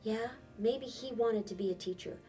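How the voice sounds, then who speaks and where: A woman's voice: a little high, normal speed, dark tone, recorded from a distance, faint music behind, pitched above the performer's own voice, natural voice. A woman reading a storybook and voicing an earnest, stubborn boy, speaking with insistent emphasis. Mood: earnest pleading.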